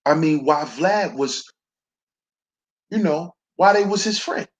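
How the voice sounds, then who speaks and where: A man with a baritone voice hosting a slow, preachy commentary monologue, calling his listeners brothers. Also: expressive. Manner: preachy, addresses listeners as brothers, repeats "see". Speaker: commentary channel host.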